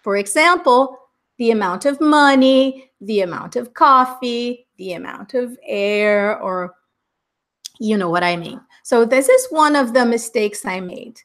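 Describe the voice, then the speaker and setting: A woman's voice: a little high, lively intonation, a little slow, a bit bright. A middle-aged Brazilian woman with an Americanized Brazilian accent, an upbeat, chatty English exam teacher giving a live online class and laughing often. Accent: Brazilian Portuguese, Americanized English. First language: Portuguese.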